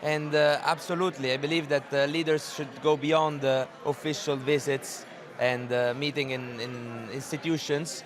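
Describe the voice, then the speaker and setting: A man gives an interview answer in a slightly high voice. He hesitates and restarts his phrases, with a clear murmur of people behind him. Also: flat delivery, normal speed, crowd noise.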